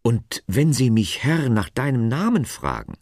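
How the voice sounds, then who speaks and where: Man voicing an anxious Moses, pitched up. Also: very animated, normal speed, gravelly, darkish tone, breathy edge, dry recording, studio quality, full character voice. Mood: anxious, doubtful. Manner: hesitant, pleading, questioning. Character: Moses, anxious, reluctant, pleading grown man.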